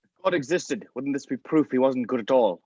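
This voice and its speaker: A man's voice: medium pitch, lively intonation, fairly quick, a little mellow, no room sound, lo-fi sound, close to an everyday voice. A man playing a cynical, condescending scientist with a foul mouth in a script table read. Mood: cool, mocking. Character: cynical, derisive scientist called the Thinker, contemptuous and callous.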